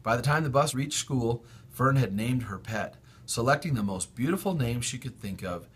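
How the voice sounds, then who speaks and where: A man, a school principal, reading a children's book aloud in an expressive storytelling style. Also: medium pitch, normal speed, husky.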